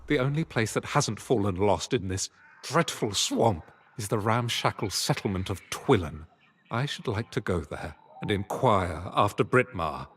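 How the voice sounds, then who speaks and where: Low-voiced, earnest fantasy adventurer. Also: male voice, fairly bright tone, slight background noise, heavily acted. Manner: formal, old-fashioned, solemn phrasing. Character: earnest, troubled male adventurer, loyal to a missing friend. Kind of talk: fantasy game dialogue acting.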